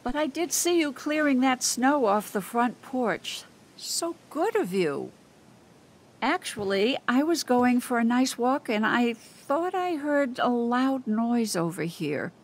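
An actress playing a kindly, concerned older woman neighbor in a video game, gentle and motherly while probing with her questions. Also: on the high side, lively intonation, slow, full character voice.

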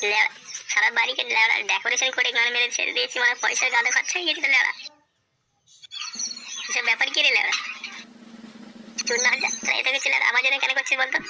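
A man's voice: extremely high pitch, creaky, exaggerated voice. A man voicing a rowdy, foul-mouthed, bossy young pal in comic cartoon dialogue, full of slangy bluster.

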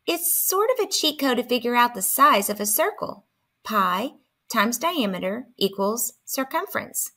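A woman reading a children's picture book aloud in an expressive manner. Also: on the high side. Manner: expressive, acting out dialogue. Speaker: storybook reader.

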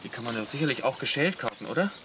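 Schauspieler als argloser Camper, bewegte Satzmelodie. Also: male voice, medium pitch, animated, racing, natural voice. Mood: good-natured. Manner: colloquial, questioning. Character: naive, good-natured, mildly indignant camper husband. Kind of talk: radio play comedy dialogue. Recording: clear background noise.

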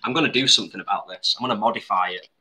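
A man's voice: lowish voice, very fast, sharp tone. A man chatting casually on a live cooking stream from his home kitchen, laughing often and reading out viewer comments.

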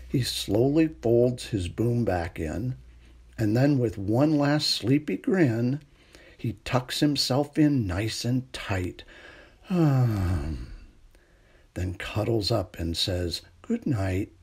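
A middle-aged man reading a children's picture book aloud in a gentle, unhurried bedtime manner, with a husky, creaky voice. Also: medium pitch, lively intonation. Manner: gentle, unhurried bedtime delivery with hushed refrains. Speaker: storytime reader.

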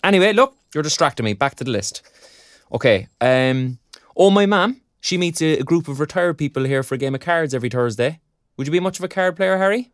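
A man's voice: on the high side, animated, a little fast, no room sound, studio quality, natural voice. A man with an Irish accent playing a young graduate in an audio drama, teasing and encouraging in colloquial talk. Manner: colloquial, bantering. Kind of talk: naturalistic audio drama dialogue.

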